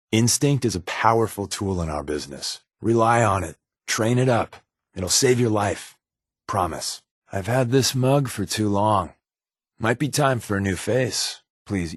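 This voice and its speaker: A man's voice: deep voice, dry recording, clean studio sound. A man playing a wisecracking, secretive undercover agent in a video game, with a wry, glib, conversational delivery. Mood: earnest, guarded. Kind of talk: video game companion dialogue.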